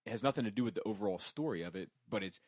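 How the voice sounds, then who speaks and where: Low-voiced man chatting about comics. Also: very fast, no echo, clean studio sound. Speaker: podcast co-host. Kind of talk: casual chat about comic books.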